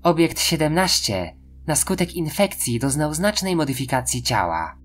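A woman's voice: low voice, sing-song, normal speed, slight background noise. A woman playing a deferential female research scientist, giving a formal, clinical briefing in an audio drama.

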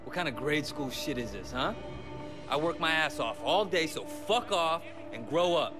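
Brash game hero voiced by a man, slightly high voice, quick to snap back, music behind. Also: lively intonation, natural voice. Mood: defiant. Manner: brash, defiant. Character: young undercover hero, cocky and short-tempered.

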